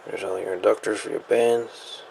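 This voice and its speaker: A man's voice: lowish voice, fairly even intonation, normal speed, vocal fry, darkish tone, a little hiss or hum. A man casually walking through a radio gadget's insides at a workshop repair bench, laid-back and thinking aloud with mumbled asides. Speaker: radio hobbyist reviewer. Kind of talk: casual equipment teardown walkthrough.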